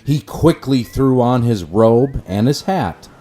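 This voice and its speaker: A man narrating a rhyming children's storybook in an expressive, measured way. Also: mid-range voice, slight background noise.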